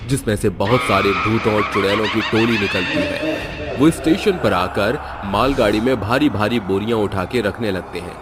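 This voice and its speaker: A man delivering dramatic scripted narration for a cartoon horror story. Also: fairly low pitch.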